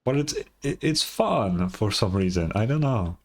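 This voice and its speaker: A man's voice: on the low side, lively intonation, unhurried. A man reacting casually to a game as a streamer, with laid-back, short interjections.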